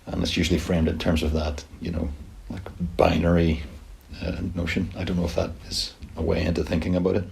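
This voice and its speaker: Hesitant podcast host, male, low and creaky. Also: level tone, fairly quick, a little mellow. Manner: hesitant, many fillers. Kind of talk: podcast interview.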